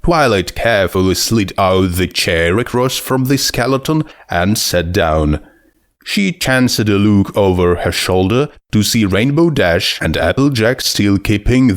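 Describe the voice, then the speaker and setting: A man's voice: lowish voice, no echo, studio quality. A man reading a scripted story aloud as narrator. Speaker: narrator of a fan fiction reading.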